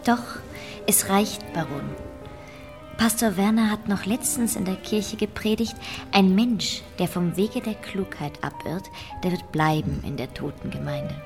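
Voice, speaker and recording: Spirited, composed baroness for radio drama: medium pitch, poised, deliberate, faint music behind. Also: female voice, gravelly. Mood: firm. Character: composed, spirited baroness, dryly firm lady of the house. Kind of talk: German radio drama acting.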